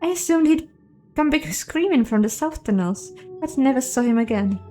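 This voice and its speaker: Woman voicing a high-pitched, chatty little ant. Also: animated, moderate pace, warm tone. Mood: eager, defensive. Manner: casual, rambling, newly unsure of itself. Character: small psionic hive-mind ant, chatty and earnest.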